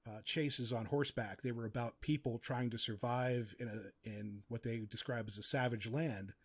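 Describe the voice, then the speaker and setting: A man's voice: mid-range voice, fairly even intonation, moderate pace, slightly rough. A middle-aged man speaking as a podcast guest, explaining his craft in a casual, reflective and articulate way. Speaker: podcast guest, audio drama writer and producer. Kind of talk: casual, reflective interview talk.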